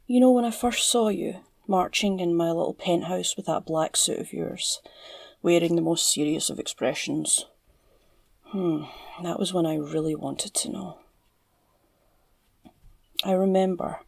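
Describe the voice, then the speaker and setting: A woman performs an obsessive female crime boss with a Scottish accent in an intimate ASMR roleplay. Her voice is fairly low and full of gravelly fry, recorded close and dry. She drawls teasingly, flirtatious and unhinged, slipping into breathy murmurs. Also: darkish tone, no echo, full character voice. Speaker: audio roleplay voice actor. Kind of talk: ASMR villain roleplay monologue.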